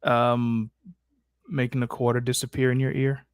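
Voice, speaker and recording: A man's voice: mid-range voice, level tone, moderate pace, clear, resonant tone, no echo, studio quality. A man hosting a livestream Q&A in casual, slangy, playfully exasperated improvised chat. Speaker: host of a character Q&A livestream.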